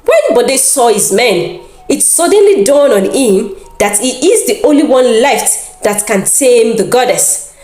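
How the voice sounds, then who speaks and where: Female storyteller, unhurried and theatrical, with music behind a roomy sound. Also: fairly high pitch. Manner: theatrical, deliberate, expressive. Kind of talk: dramatized folktale narration.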